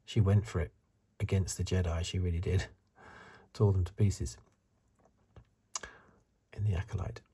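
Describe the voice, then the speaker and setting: Soft, breathy, low-voiced ASMR man. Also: little pitch movement, a little slow, long pauses. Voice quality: soft, breathy, husky, partly whispered. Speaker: host of an ASMR review channel. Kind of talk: soft-spoken ASMR commentary with whispering.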